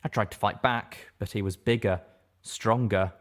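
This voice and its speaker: A man reading a scripted story aloud as an expressive audiobook narrator. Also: fairly low pitch, normal speed.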